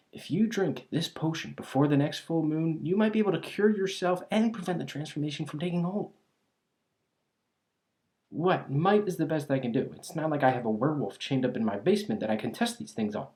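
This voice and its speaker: A voice actor playing a clumsy, cheerfully eccentric alchemist shopkeeper in an ASMR roleplay, chatty and absent-minded, halting and trailing off. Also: medium pitch, a little mellow, lightly acted. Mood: musing, flustered. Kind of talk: ASMR roleplay, scripted character acting.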